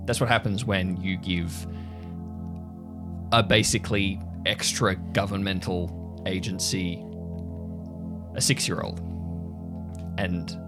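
A man playing a bitter, haunted agent in an improvised audio drama, speaking gravely and deliberately. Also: low-pitched, steady tone. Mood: bitter, grim. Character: bitter, haunted male agent raised by the agency since childhood. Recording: music under the voice.

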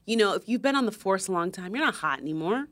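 A woman's voice: mid-range voice, quick pace. A woman with a young-sounding voice co-hosting a podcast, telling stories in a playful, mock-indignant way. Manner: animated storyteller, playfully indignant. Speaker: podcast co-host. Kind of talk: casual chat.

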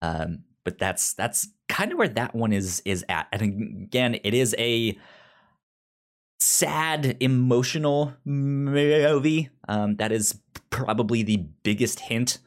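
A man's voice: medium pitch, takes it slowly. A man hosting a casual podcast, talking loosely with many fillers and frequent stuttered repeats of opening sounds. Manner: filler-heavy, relaxed. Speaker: podcast host.

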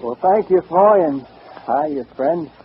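A muffled old radio recording with faint noise carries a folksy American comedian, a man with a higher-set voice. He delivers easygoing vintage stand-up patter, built on simple gags. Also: expressive, unhurried. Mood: joking, easygoing. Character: folksy male banjo comedian telling tall mother-in-law gags.

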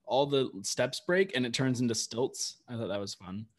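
A man's voice: medium pitch, animated, moderate pace. Chatty podcast co-host man. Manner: chatty storytelling, frequent "like". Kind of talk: casual chat.

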